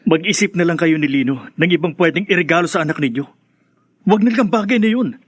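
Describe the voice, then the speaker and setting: An actor playing a bitter, stubborn older brother in a radio drama, firm and resentful. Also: male voice, fairly high pitch, fast, husky, no room sound, clean studio sound. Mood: bitter, refusing.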